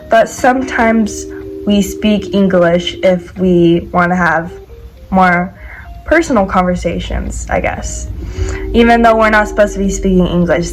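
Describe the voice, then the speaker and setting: Teen girl from the us just chatting to the camera, says "like" and "um" a lot, mid pitch, soft music under her. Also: low-quality recording. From United States. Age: teens. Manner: chatty, lots of "like" and "um" fillers. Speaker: vlogger. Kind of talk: casual chat to camera. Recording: faint background music.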